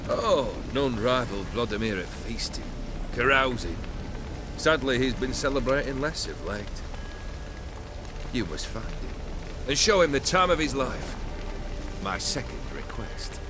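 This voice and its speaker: A man's voice: medium pitch, big pitch swings, measured pace, long pauses, music in the background. An actor playing an imperious, mercurial bandit nobleman in a video game, taunting and theatrical in his delivery. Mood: mocking, lordly. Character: haughty, mercurial male bandit nobleman, mocking and commanding.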